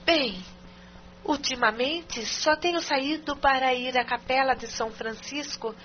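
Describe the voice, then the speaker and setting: A reserved, anxious wife, played by a woman, with a fairly high voice. She talks formally and hesitantly, in audio drama style, on a dull, muffled recording. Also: a little slow, a bit bright, slight background noise. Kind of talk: audio novela dialogue.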